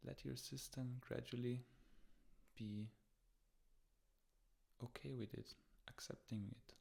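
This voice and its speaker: A man leading a guided meditation as a teacher, speaking in short, gentle, unhurried phrases. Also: medium pitch, steady tone, vocal fry.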